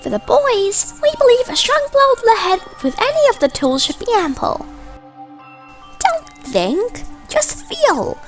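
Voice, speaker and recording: A very high, wildly swooping cartoon mascot bear. It is gleeful and sinister, delivering announcements with sing-song, mock-polite menace. Music plays behind it. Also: huge pitch swings, measured pace, full character voice. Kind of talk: cartoonish game fandub announcement.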